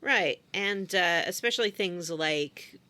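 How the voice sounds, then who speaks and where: A woman's voice: lowish voice, takes it slowly, sharp tone. A woman co-hosting a gaming podcast, delivering news in a matter-of-fact, wry way. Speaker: podcast co-host. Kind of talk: casual chat, news segment.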